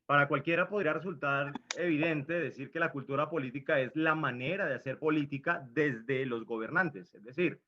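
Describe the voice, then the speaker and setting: A man's voice: fairly high pitch, normal speed, few pauses, a little hiss or hum. A man from Colombia moderating a student group presentation in a formal manner, handing turns to the others. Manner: formal, leads the discussion and hands over turns. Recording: dull lo-fi mic.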